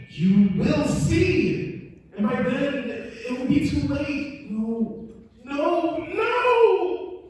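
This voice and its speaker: A man's voice: extremely high pitch, a little mellow, heavily acted. A man playing a desperate, frantic doomsayer warning of evil in a dramatic scene, recorded in a big echoey room. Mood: frantic, panicked. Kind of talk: dramatic audition scene reading. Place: large echoey convention room. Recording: very echoey hall, far mic, faint noise.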